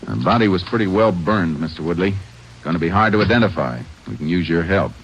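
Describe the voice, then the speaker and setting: An American actor playing a calm, probing Texas Ranger in an old-time radio crime drama, measured and matter-of-fact. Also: male voice, low voice. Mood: probing, businesslike. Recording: muffled, noisy old radio broadcast recording.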